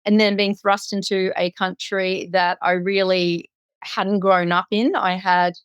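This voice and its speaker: A woman's voice: medium pitch, flat delivery, a little slow, smooth, no echo, muffled recording. A middle-aged woman from Singapore telling her personal story as an interview guest, articulate and reflective. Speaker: podcast guest.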